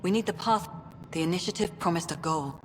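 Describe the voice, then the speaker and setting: An actress playing a jaded, blunt and sardonic colony director in a video game, in a noisy, echoing space. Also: female voice, lowish voice, fairly quick, lightly acted. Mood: guarded, weary. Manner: sardonic, terse. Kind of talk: video game dialogue acting.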